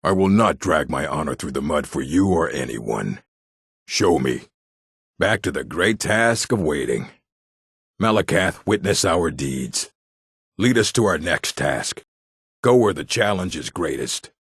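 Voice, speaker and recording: An actor voicing a proud, gruff orc warrior in a fantasy video game, gravelly and husky, with a stern, blunt delivery. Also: male voice, rumbling low, expressive, measured pace, fairly bright tone, no room sound, clean studio sound, heavily acted. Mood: defiant, then curt, stoic.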